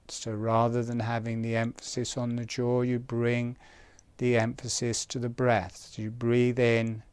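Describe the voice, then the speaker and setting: Slow, smooth-voiced man guiding relaxation. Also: medium pitch, no room sound. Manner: calm, gentle, unhurried, spaced short phrases. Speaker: breathing and relaxation instructor. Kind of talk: guided relaxation exercise instruction.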